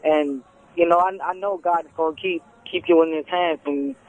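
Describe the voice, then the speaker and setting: A young man in his twenties calls in over a thin, tinny phone line. His voice is high, and he stumbles through restarts and fillers in an earnest way. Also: steady tone, normal speed. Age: 20s. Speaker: caller. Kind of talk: casual phone call.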